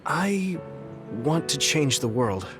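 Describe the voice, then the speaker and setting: An actor playing an earnest, gentle, idealistic young fighter in a video game, with a slightly hesitant manner. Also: male voice, on the high side, very animated, slow, over loud music. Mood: earnest, hopeful. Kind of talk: English video game voice acting.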